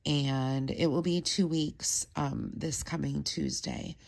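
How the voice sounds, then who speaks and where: A woman chatting casually to her camera as a home vlogger, a bit stuffy-nosed, rambling along with soft asides. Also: low voice, fairly even intonation, slow pace, creaky. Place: kitchen at home, indoors.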